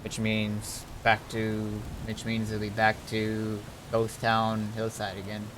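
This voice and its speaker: A man talking casually on a game stream, thinking things through aloud in a halting, deliberating way. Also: lowish voice, monotone, noisy background. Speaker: streamer and roleplay gamer.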